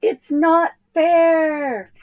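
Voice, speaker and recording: A woman voicing an indignant, desperate young boy adventurer with drawn-out, wailing exclamations in a storybook. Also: very high-pitched, fairly even intonation, narrow, tinny audio, voice pushed up, heavily acted.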